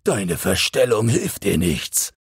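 Bandit henchman for a storybook, done by a man: brusque, urging, husky, dry sound. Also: fairly low pitch, very animated, takes it slowly, dry recording, voice pushed up, full character voice. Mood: brusque warning.

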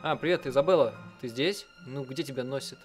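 Man dubbing a chatty young woman, haltingly. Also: medium pitch, faint music behind, natural voice. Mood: puzzled. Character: young women on a phone call, chiding and chatty.

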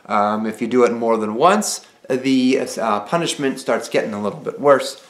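A man in his thirties chats straight to camera from a small room at home, explaining things in an easygoing way and leaning on "uh" and "um". His voice sits at an ordinary middle pitch. The camera mic catches a good deal of room echo around him. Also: moderate pace. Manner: conversational, explanatory, frequent "uh" and "um" fillers. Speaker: vlogger and former cruise ship entertainer. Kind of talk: casual advice vlog to camera.

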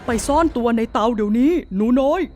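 A woman's voice: fairly high pitch, quick pace, a little mellow, faint music behind, close to an everyday voice. A woman voicing a protective giant wife in a children's storybook tale, warning and soothing. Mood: urgent. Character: protective female giant, wife of man-eater.

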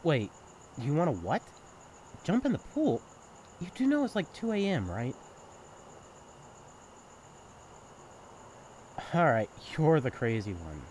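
A man's voice: a little high, huge pitch swings, moderate pace, a little mellow, a little hiss or hum. A young man acting in an intimate audio roleplay as a sibling's best friend, talking candidly and casually in a caring, teasing way. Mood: playful, teasing. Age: young adult. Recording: night ambience with chirping crickets. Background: crickets and insects, outdoor ambience.

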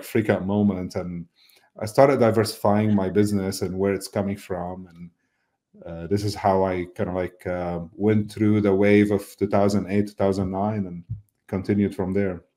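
A middle-aged man talks through a webcam from his office, his voice low and warm in tone. He answers questions at an unhurried pace, stopping often on fillers and restarting his sentences. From Lebanon. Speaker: mortgage business owner, guest. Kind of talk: casual interview over video call. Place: indoor office. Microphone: video call webcam mic.

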